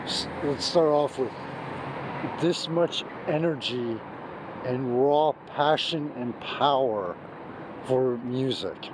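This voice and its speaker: Hoarse man raving about a band. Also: medium pitch, lively intonation, takes it slowly. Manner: emphatic, gushing, restarts often. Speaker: music reviewer. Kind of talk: off-the-cuff video review. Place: outdoors on a city street at night. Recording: street noise and traffic behind.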